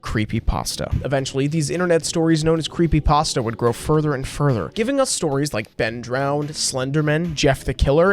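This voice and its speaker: A man giving a scripted narration for a video essay. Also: fairly high pitch.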